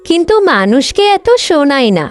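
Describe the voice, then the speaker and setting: একজন মহিলা কার্টুন গল্পে অহংকারী, স্বার্থপর তরুণী বড় বোনের চরিত্রে কণ্ঠ দিচ্ছেন, কথায় বকাঝকা আর অভিযোগের সুর।. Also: female voice, high voice, sing-song, normal speed, no room sound, clean studio sound, faint music behind. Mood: irritated, sulky. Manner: scolding, complaining. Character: vain, selfish, sharp-tongued young elder sister. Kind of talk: Bengali cartoon story voice acting.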